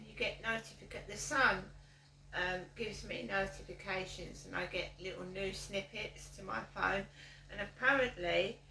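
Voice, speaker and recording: A middle-aged woman talks in an unhurried, wandering way, trailing off and starting over with plenty of ums. Her voice carries a roomy echo, with a faint hum underneath. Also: mid-range voice, creaky, sharp tone. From South East London. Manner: slow, meandering, with frequent ums and restarts. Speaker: vlogger. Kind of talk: casual rambling vlog monologue. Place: outdoors on a walk with a dog.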